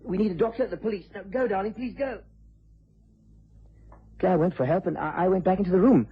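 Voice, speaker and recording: An actor playing an earnest, agitated young upper-class British nephew in a vintage radio drama. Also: male voice, sits high, brisk, breathy edge, lo-fi sound, heavily acted. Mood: shocked, urgent. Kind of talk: 1950s-60s British radio drama acting.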